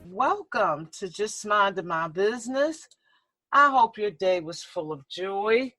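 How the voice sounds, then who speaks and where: A woman hosting a radio talk show, introducing a guest and asking questions in a measured way, with soft affirmations. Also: mid-range voice, expressive, no echo, soft background music.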